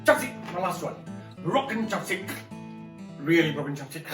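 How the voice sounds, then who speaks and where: A man telling a story to an audience in a playful, lively manner, leading them in movement games before the tale. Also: fairly high pitch, moderate pace. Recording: echoey room, distant mic, guitar music.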